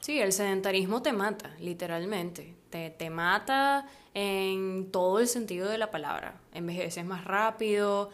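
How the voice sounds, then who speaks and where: A woman's voice: mid-range voice, a little slow, few pauses. A young woman with a Maracaibo Venezuelan accent, co-hosting a casual chat and laughing easily, with lots of "o sea". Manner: chatty, laughs easily, uses fillers like "o sea". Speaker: podcast co-host.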